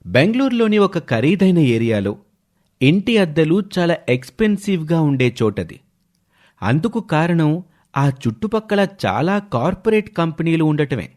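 A man's voice: on the high side, darkish tone. A man narrating a scripted story.

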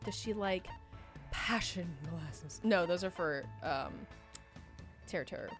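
A woman's voice: lowish voice, animated, slow. A woman co-hosting a gaming video, chatting casually as the game is played. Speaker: gaming channel co-host. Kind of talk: casual chat while playing a game. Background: game music.

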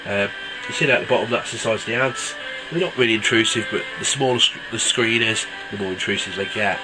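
A man's voice: lowish voice, quick pace, rough recording. A man with a stuffy cold, casually reviewing a mobile game with lots of ums. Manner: relaxed, rambling with many ums. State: congested from a cold. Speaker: app reviewer. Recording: loud game music behind.

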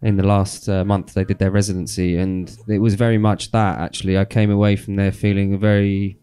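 A man in his thirties co-hosting a radio show, chatting in a relaxed way about dance music in a small studio, talking into a handheld mic. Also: low voice, fairly quick, smooth. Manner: relaxed, laughs often, rambling with restarts.

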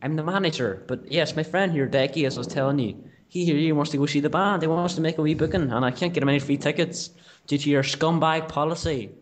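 A man with a Derry accent rattles along quickly in a slightly high-pitched voice. He is a prank caller on the phone, cheeky and mock-serious, playing everything with indignant sincerity. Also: a little hiss or hum. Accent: Northern Irish, Derry. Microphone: telephone call.

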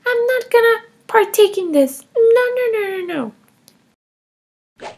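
A woman voicing a defiant, bratty kindergarten girl in a comedy skit, with a high, whiny child's voice and a sassy, stubborn way of talking. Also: slow pace, a little mellow. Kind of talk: comedy skit role-play.

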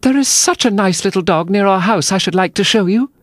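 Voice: medium pitch, sing-song, normal speed, dry recording, clean studio sound, voice pushed up. A narrator voicing a polite, chatty little girl in a storybook, with a gushing, earnest manner. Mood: eager.

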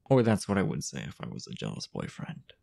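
A man playing a possessive, teasing young boyfriend in an improvised intimate roleplay audio, coaxing in a husky, breathy, hesitant murmur. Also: sits low, wildly swooping pitch, a little mellow, full character voice. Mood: feigned innocence. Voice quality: husky, gravelly, often breathy or whispered. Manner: hesitant, coy, coaxing murmur with drawn-out pauses.

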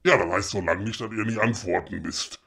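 A man playing a grumpy, bossy woodcarver in a comedy audio drama, blunt and colloquial, with a husky voice. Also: fairly low pitch, a little fast, pushed and bright, heavily acted. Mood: irritated. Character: grumpy, bossy male woodcarver of a fairy-tale workshop.

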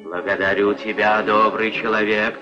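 A man playing a sly bandit chieftain in a cartoon, speaking with measured, false courtesy. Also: on the low side, little pitch movement, pitched below the performer's own voice, lightly acted. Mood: falsely grateful. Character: sly, smooth-talking male bandit chieftain posing as merchant. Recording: dull old film soundtrack with music behind.

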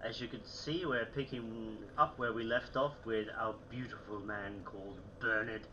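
Young man, phlegmy, self-mocking game chatter, steady hiss behind him. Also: on the low side, normal speed, highs cut off. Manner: chatty, self-mocking, frequent throat clearing. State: a bit sick and phlegmy. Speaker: gaming channel host. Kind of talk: casual gameplay commentary. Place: small room at home.

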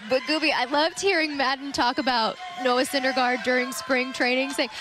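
A woman's voice: high-pitched, fairly even intonation, normal speed. An American woman, around her thirties, hosting a live postgame sports show on a headset mic. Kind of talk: live postgame studio talk. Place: open broadcast desk at a ballpark concourse.

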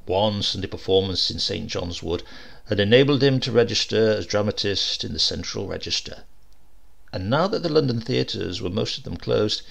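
A man's voice: medium pitch, gravelly. A man reading a comic short story aloud as narrator, in a dry, wry storytelling manner. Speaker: audiobook reader.

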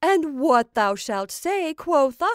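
Woman acting a loud, high-pitched domineering old witch. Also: sing-song, slow pace, no room sound, clean studio sound, heavily acted. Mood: scornful coaxing. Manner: emphatic, declamatory, archaic speech. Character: domineering old witch, gleefully scornful and coaxing.

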